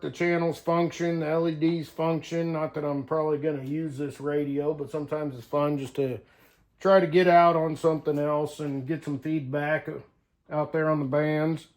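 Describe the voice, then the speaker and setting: Man, somewhat high-pitched voice, folksy, casual hobbyist vlogger, unscripted, thinking aloud during a hands-on demo. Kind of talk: casual hands-on demonstration, talking to viewers.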